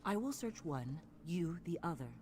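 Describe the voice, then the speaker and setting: A woman voicing a commanding, perceptive mentor in a video game, speaking in a formal, deliberate and imperious way. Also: lowish voice, very animated, darkish tone. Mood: grave, instructing. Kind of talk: video game character acting. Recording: faint background noise and game ambience.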